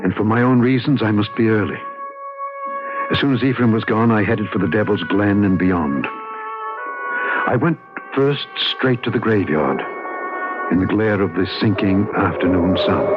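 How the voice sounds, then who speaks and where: An actor as a low-voiced haunted man, old radio drama. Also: lightly acted. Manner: earnest, dramatic, literary phrasing. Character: haunted, troubled man recounting a ghost story. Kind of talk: radio drama acting and first-person narration. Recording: muffled old radio recording, music behind.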